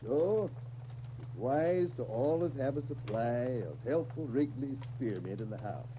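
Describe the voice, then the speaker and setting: A man's voice: mid-range voice, lively intonation, lightly acted. An actor playing a folksy, kindly older small-town American man who offers homespun wisdom in a 1930s radio style. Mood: folksy, genial. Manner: folksy, unhurried homely sayings. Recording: muffled, noisy 1939 radio disc recording.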